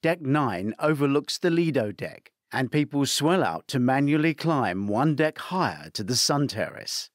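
A man reading a crime thriller aloud as scripted narration, measured and theatrical, lifting his voice for quoted commands. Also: mid-range voice, big pitch swings, no echo, studio quality. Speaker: audiobook narrator.

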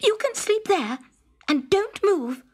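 High-pitched, petulant young princess. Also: female voice, sing-song, slow, full character voice. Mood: indignant refusal. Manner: snappish, exclaiming. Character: spoiled young princess, squeamish, petulant and tearful. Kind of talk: storybook character acting.

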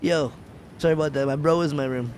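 A man's voice: fairly high pitch, fairly even intonation, fast, a little mellow, slight background noise. A man streaming video games, chatting casually with a slangy, playfully pleading manner and some swearing. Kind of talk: casual gaming chat. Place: indoor room at home.

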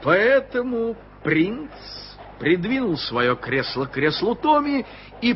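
A man narrating an old radio play in a clear storytelling manner. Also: fairly high pitch, a little hiss or hum. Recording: dull old radio recording with music.

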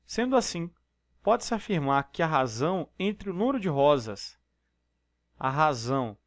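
A man's voice: a little high, dry recording, studio quality. Brazilian man, step-by-step math tutor. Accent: Brazilian Portuguese. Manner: explanatory, step-by-step, frequent tag questions. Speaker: math teacher. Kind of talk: exam problem walkthrough. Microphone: voice-over on screen recording.

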